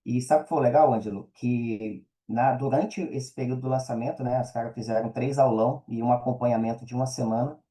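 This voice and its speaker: A man with a very dark, soft-edged timbre and an average pitch speaks with a Brazilian accent. He is a podcast guest telling a personal story in casual, colloquial language, often adding little tag questions. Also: fairly even intonation, brisk, few pauses.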